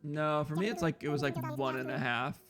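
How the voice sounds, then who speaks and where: A young man with a loud, slightly hoarse voice, rambling casually to his viewers as a live streamer while he plays a game. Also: a little high, fairly even intonation, a little fast. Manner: loud, rambling, talks straight to chat. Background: faint guitar music.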